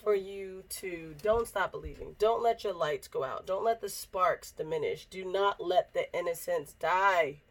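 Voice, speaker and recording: A woman's voice: lowish voice, a little slow, hardly any pauses. A young woman in her late twenties hosting a podcast, playful and mock-inspirational. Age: young adult. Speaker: podcast host. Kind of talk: casual chat.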